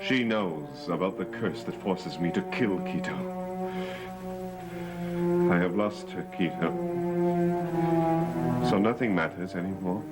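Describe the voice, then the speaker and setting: Deep, gloomy cursed man, slow and heavy, old soap tv sound with music. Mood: anguished. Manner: slow, grave, anguished delivery. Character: brooding cursed man, grave and despairing. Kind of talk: 1970s soap opera acting.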